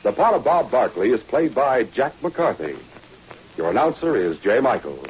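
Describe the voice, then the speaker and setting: A man's voice: mid-range voice, sing-song, moderate pace. An American man narrating a 1940s radio adventure as a dramatic announcer. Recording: dull, noisy old radio recording.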